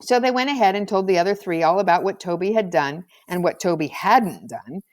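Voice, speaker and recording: A woman reading a children's novel aloud as scripted narration, in an expressive storybook manner. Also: on the low side, a little fast. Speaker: audiobook reader.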